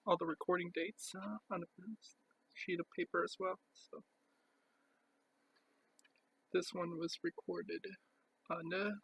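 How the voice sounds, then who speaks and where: A man giving a casual show-and-tell as a collector, speaking in a halting, piecemeal way with pauses. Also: high voice, level tone, creaky, fairly bright tone, a little hiss or hum, poor audio. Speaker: record collector presenting his collection.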